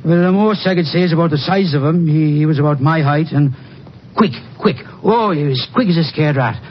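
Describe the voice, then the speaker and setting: An actor playing a talkative, eager country farmer with a folksy, excitable manner in an old-time radio drama. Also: male voice, fairly high pitch, a little fast, lightly acted. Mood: rambling, earnest. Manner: folksy, rambling, excitable storyteller. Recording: noisy, dull 1950s radio recording.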